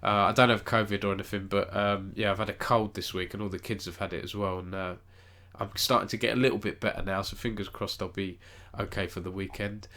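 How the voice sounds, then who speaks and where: Casual male stream host, slightly low, nasal with a cold, chatty and rambling. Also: steady tone, brisk, hardly any pauses, sharp tone. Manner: rambling, self-correcting, chats with viewers. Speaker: esports news host. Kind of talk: casual live-stream news roundup.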